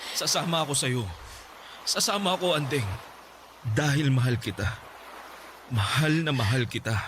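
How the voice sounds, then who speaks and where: An actor playing a devoted, stubborn young college student in love, pleading emotionally in a radio drama. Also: male voice, mid-range voice, moderate pace, lightly acted. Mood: pleading, ardent. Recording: clearly audible background noise.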